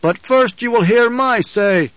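A man's voice: sits high, expressive, normal speed, no echo, muffled recording, voice pushed up. A man voicing a defiant young male rebel leader, speaking in a commanding, oratorical way in an audiobook. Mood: firm. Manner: commanding, raised oratorical delivery. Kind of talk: dramatic audiobook character voice.

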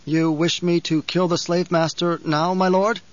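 A man's voice: fairly high pitch, level tone, unhurried, voice pushed up, lightly acted. A man voicing a frightened guard captain in an audiobook, servile and pleading, with a quavering voice. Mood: fearful. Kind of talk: audiobook character voice. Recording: slightly dull, lo-fi audio.

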